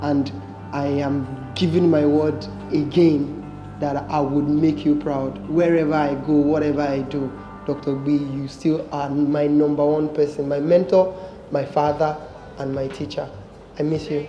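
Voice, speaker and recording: Man, flat intonation, heartfelt tribute, echoey room, background music. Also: a little high, measured pace, dull audio. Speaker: stage actor. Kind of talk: heartfelt spoken tribute to a late mentor.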